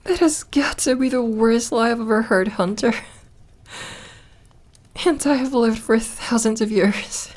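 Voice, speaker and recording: A woman's voice: on the high side, slow, pauses often, a little mellow. A woman playing an ancient, lonely vampire in an ASMR roleplay, playful and tender, with a teasing drawl that turns hesitant when flustered. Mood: amused disbelief.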